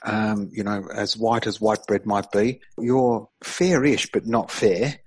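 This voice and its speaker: A male radio host with a husky, slightly low voice leads the interview. He speaks with enthusiasm, talking casually and sometimes restarting his sentences. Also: steady tone, takes it slowly. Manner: warm, enthusiastic introductions; conversational, some restarts.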